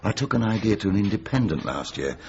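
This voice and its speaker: A man's voice: fairly low pitch, very animated, fast, gravelly. A jaded middle-aged comedy writer in a radio play. He is sardonic and world-weary, yet his intonation moves about a lot. The recording is a little dull. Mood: bitter, resigned. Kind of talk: naturalistic radio comedy-drama acting.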